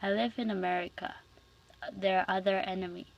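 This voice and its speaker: A woman's voice: medium pitch, steady tone, normal speed, gravelly, lo-fi sound. A young woman, a student, reading a speech aloud for class, carefully and with frequent restarts on words.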